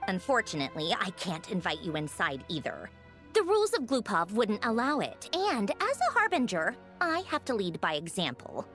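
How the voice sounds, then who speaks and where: A woman's voice: medium pitch, huge pitch swings, normal speed, fairly bright tone, soft background music. A woman playing a haughty, refined noblewoman in a video game, dryly gracious with a teasing manner. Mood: haughty, put-upon. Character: haughty young noblewoman villain-turned-ally, dryly gracious.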